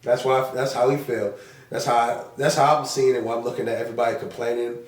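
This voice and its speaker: Hoarse man rambling on a podcast. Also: mid-range voice, flat delivery, fast, roomy sound, distant mic. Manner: rambling, repeats himself, curses freely. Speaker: podcast co-host. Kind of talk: casual podcast chat.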